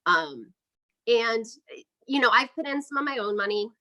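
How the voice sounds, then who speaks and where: A woman's voice: a little high, expressive, normal speed, bright, forceful tone. A woman speaking casually and chattily as an interview guest, explaining her work as an independent filmmaker.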